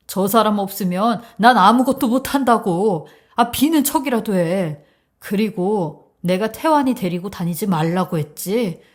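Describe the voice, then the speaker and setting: A woman's voice: medium pitch, a little mellow, lightly acted. A woman voicing an exasperated adult son and bridegroom, pleading and scolding in a dramatized story.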